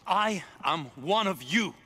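An actor playing a proud, driven middle-aged cult leader in a video game cutscene, intense and grandiose, moving between hushed and declamatory. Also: male voice, high-pitched, lively intonation, slow, slightly rough, full character voice. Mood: firm, restrained.

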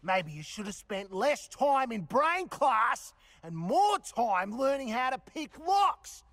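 An actor playing a cocky, crude rogue villain in a video game, brash and taunting, with an Australian accent. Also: male voice, extremely high pitch, very animated, slow pace, a bit bright, no room sound, studio quality, full character voice. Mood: gloating. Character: cocky, crude male rogue supervillain prisoner. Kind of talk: video game cutscene acting.

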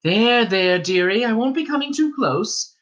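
Man putting on a high, chatty old innkeeper's wife. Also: expressive, a little slow, fairly bright tone. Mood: coaxing. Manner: chatty, coaxing, folksy. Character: friendly, motherly old female innkeeper's wife.

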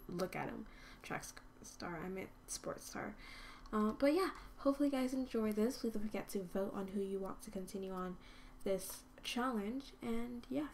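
A woman's voice: mid-range voice, a little mellow, slight background noise. A woman hosting a life-sim game video, chatting casually with lots of ums and dipping into whispers. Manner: chatty, rambling with many ums, often drops to a whisper. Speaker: gaming channel host. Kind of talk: casual let's-play commentary.

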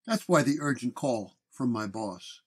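Man playing flat, self-assured 1930s detective. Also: medium pitch, slightly rough. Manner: flat, deliberate. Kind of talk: audio detective story.

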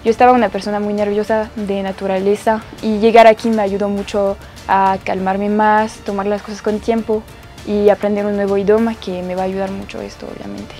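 A woman's voice: mid-range voice, little pitch movement, fairly quick, dark tone, soft background music. A young woman from France with a French accent, an exchange student telling her story in simple, searching phrasing. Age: young adult. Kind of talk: interview testimony. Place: studio set. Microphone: lapel.

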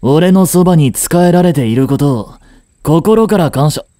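A cold young prince, acted by a man, speaks in a mellow, dark voice that moves widely in pitch. He is curt, haughty and commanding, with a protective streak underneath. The romantic audio drama is recorded dry and close. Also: expressive, normal speed, no echo, lightly acted. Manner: imperious, curt, scolding.

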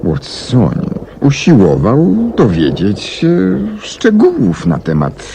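Self-assured sleuth for radio drama: deep, deliberate, very expressive delivery, hissy vintage recording. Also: male voice, gravelly, full character voice. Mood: methodical, intent. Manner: measured, deliberate storytelling with emphatic swings. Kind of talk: 1970s Polish radio play acting. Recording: noisy old 1970s radio recording.

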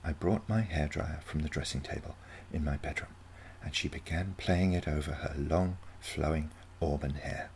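A man's voice: very deep voice, moderate pace, creaky, darkish tone, a little hiss or hum. A man reading a short story aloud as scripted narration. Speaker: story reader.

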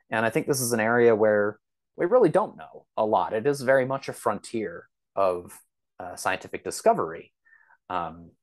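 Man, slightly low pitch, podcast guest, reflective, tentative, conversational. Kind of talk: casual, thoughtful interview talk.

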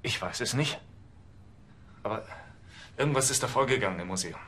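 An actor playing a rattled, defensive male suspect under police questioning in a TV crime comedy, with a rough voice. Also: medium pitch, expressive, fairly quick, fairly bright tone. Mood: unsure. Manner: defensive, protesting. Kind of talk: TV comedy crime series acting.

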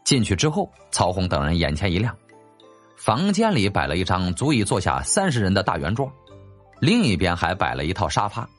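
一位男演播者用戏剧化又略带调侃的口吻讲述历史小说有声书。. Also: male voice, low-pitched, wildly swooping pitch, a little fast. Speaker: audiobook narrator. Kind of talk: dramatic storytelling narration of a historical novel. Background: faint background music.

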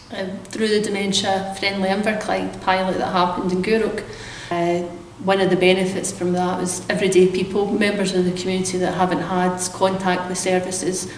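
A middle-aged woman talks earnestly about her work, her voice held in a narrow, even pitch. She is heard from some distance through the camera's mic. The room adds a noticeable echo and a faint background hum. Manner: earnest, measured, with frequent restarts and fillers. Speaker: carers centre manager. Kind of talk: vox pop interview. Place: hotel room by a window, indoors.

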